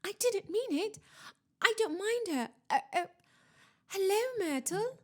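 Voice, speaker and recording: Lady doing an anxious young schoolgirl in a storybook, high voice, too polite, trying too hard to sound cheery. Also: animated, measured pace. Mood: frantic. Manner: urgent, over-polite, forced brightness. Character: anxious, placating schoolgirl, falsely bright.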